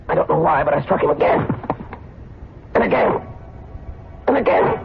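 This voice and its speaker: An actor playing a desperate, paranoid fugitive killer in an intense, agitated, melodramatic vintage radio suspense style. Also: male voice, lowish voice. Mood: haunted narrating. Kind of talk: 1940s radio suspense acting, first-person narration. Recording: noisy, tinny old radio drama recording.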